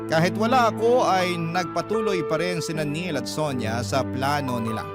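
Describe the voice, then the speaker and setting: A man's voice: on the high side, normal speed. A man giving a scripted first-person narration of a confessional letter for a radio drama. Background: loud music.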